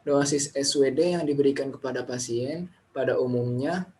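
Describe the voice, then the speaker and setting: A man's voice: medium pitch, moderate pace, a little mellow. A young adult man giving an academic student presentation, repeating phrases and posing questions as he explains.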